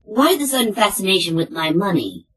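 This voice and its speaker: A woman's voice: medium pitch, sing-song, fairly quick, background noise, natural voice. A woman voicing a small, sarcastic, cheeky turtle minion in a comic book fan dub, mocking and exclamatory. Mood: sly. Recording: music behind the voice.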